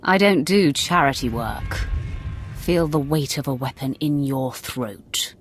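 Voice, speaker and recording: An actress playing a merciless Sith acolyte in a video game, speaking in a cool, imperious, sardonic manner. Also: female voice, sits low, lively intonation, takes it slowly, slight background noise. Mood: cold, commanding. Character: cold, commanding female Sith acolyte, merciless. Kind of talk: video game cutscene acting.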